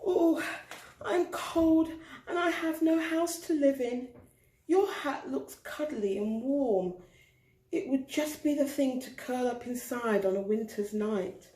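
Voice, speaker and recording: A woman's voice: high-pitched, slow, warm tone, recorded from a distance, pitched above the performer's own voice. A woman voicing a tiny, timid, miserable storybook mouse who pleads sadly and meekly, in a roomy recording. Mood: sad, wistful. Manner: sad, meek pleading. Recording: roomy echo.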